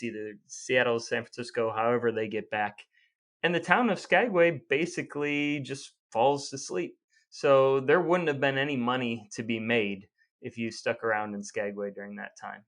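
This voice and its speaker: Podcast cohost guy chatting with his brother, mid pitch, takes his time explaining stuff. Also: fairly bright tone. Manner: unhurried, explains history at length. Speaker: podcast co-host. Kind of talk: casual chat between brothers.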